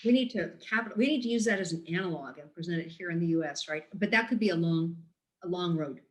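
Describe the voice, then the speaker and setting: A woman's voice: lowish voice, quick pace. A woman moderating a webinar over a video call, steering toward the final question. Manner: organizing, steering to close.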